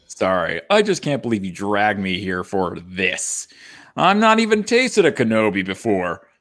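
A man's voice: lowish voice, wildly swooping pitch, a little slow, fairly bright tone, exaggerated voice. A man playing an earnest, roguish young space smuggler in a comedic live table read. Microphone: home video call.

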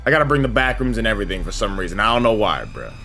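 A man's voice: fairly low pitch, big pitch swings, very fast, pushed and bright. A young American man giving casual, slangy reaction commentary on a trailer, swearing freely. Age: young adult. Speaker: reaction video host. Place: small indoor room at home. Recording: trailer soundtrack playing behind voice. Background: music from the trailer.

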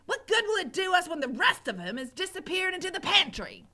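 A woman voicing a bossy, bad-tempered cook who shouts and scolds in rough speech. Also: sits high, very animated, moderate pace, heavily acted.

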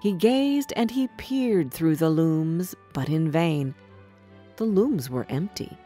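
Expressive, lowish-voiced woman storyteller. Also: soft background music. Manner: expressive, measured. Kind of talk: scripted fairy tale narration for children.

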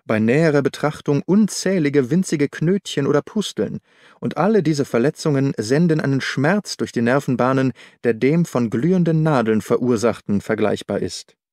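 A man reading a literary audiobook as narrator, measured and deliberate. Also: medium pitch, animated, darkish tone, dry recording, studio quality.

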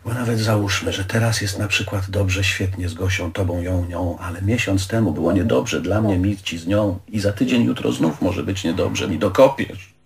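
A man's voice: low voice, normal speed, gravelly, noisy background. A histrionic, wounded man on stage. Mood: anxious, subdued. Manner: histrionic, querulous, colloquial. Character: neurotic, wounded, bitter middle-aged man quarrelling with his lover. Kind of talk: theatrical stage play acting. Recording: live theatre recording with audience.

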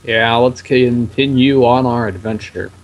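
A man with a deep voice chatting casually and reading game text aloud while playing. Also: steady tone, a little slow, muffled recording. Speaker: let's play co-host. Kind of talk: casual gaming commentary.

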